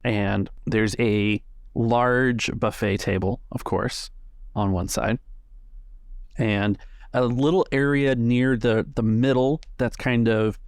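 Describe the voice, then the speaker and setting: A man narrating a tabletop role-playing game as the game master, with a gravelly voice. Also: mid-range voice, fairly even intonation, slow, no echo, clean studio sound.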